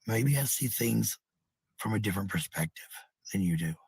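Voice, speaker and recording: A middle-aged man with a gravelly, husky voice talking casually to viewers in a blunt, profane, rambling monologue, repeating phrases for emphasis. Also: on the low side, expressive, moderate pace, darkish tone, dry recording.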